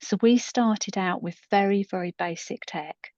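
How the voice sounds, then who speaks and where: A woman's voice: medium pitch, unhurried, a little mellow. A woman in her fifties co-hosting a podcast, chatting casually in a rambling, self-deprecating way. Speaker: podcast co-host and coach. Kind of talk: casual conversational storytelling. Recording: muffled, roomy echoing recording.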